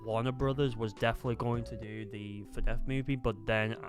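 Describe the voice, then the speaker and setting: A man co-hosting a casual discussion, speaking haltingly and repeating words as he goes. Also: mid-range voice, steady tone. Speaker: podcast co-host. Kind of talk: casual chat about video game films. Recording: music behind the voice.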